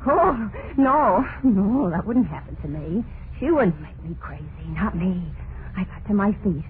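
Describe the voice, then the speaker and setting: An actress playing a terrified, unhinged wife in an old-time radio horror monologue, halting and overwrought, full of guilt and dread. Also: female voice, medium pitch, animated, natural voice. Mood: frantic defiance. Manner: halting, overwrought, drawn-out dramatic delivery. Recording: noisy narrow-band vintage radio recording.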